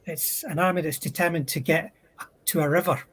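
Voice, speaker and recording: Husky, higher-pitched man explaining thoughtfully. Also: steady tone, a little fast, a bit bright. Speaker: panel speaker. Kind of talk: explanatory discussion of military history.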